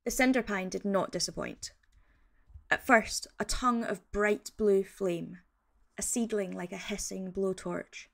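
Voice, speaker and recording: A woman playing an awed field naturalist, giving a measured, earnest scripted report in the first person. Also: medium pitch, vocal fry, a bit bright.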